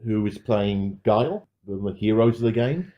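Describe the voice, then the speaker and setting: A man's voice: on the low side, expressive, normal speed, dull audio. A man giving casual review commentary as a podcast panelist, with a wry, dry humour.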